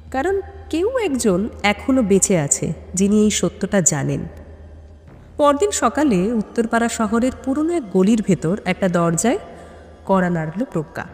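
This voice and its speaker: A woman's voice: mid-range voice, a little mellow. A woman, reading a mystery story as a dramatic scripted narration. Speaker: audiobook narrator. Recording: long hall reverb.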